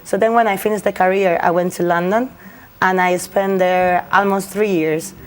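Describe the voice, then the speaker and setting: Young Spanish woman, lawyer guest, lowish voice, halting non-native English. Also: fairly even intonation, clean tone. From Spain. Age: young adult. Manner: hesitant, many fillers and restarts. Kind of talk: interview answers.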